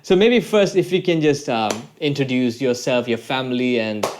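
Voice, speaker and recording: A man hosting a casual podcast interview from a home room. He sounds upbeat and chimes in with quick yeahs and wows, speaking at a middle pitch. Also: a little hiss or hum. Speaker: podcast host.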